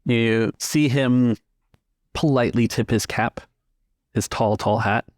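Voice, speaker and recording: A man improvising scene descriptions as a game master, pausing to pick his words in a measured way. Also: mid-range voice, fairly even intonation, darkish tone, no echo, clean studio sound. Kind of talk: improvised tabletop game narration.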